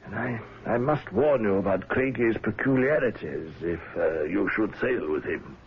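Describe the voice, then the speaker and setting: An actor playing a gruff but genial middle-aged whaling fleet owner in a radio drama, avuncular and a little hesitant. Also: male voice, fairly low pitch, lively intonation, normal speed, slight background noise, lightly acted. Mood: uneasy, confiding. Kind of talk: old-time radio drama acting. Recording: dull, lo-fi old radio broadcast.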